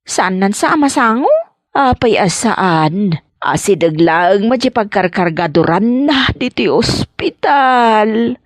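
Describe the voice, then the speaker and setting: A woman's voice: mid-range voice, expressive, slow pace, no echo, studio quality. Frail, fretful old mother. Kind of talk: comedy radio drama acting.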